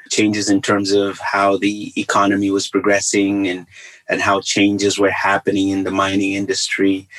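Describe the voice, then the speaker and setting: A middle-aged man with a Zambian accent telling his life story as an interview guest, in measured, gracious, rather formal phrasing. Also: on the low side, a bit bright, low-quality recording. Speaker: podcast guest, author and engineer.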